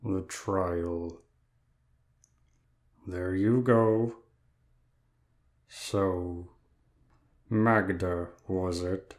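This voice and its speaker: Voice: low-pitched, steady tone, very slow, pauses often. An actor in an audio drama playing Sloth, a lazy, weary demon with a drawling, indifferent manner. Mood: weary, bored.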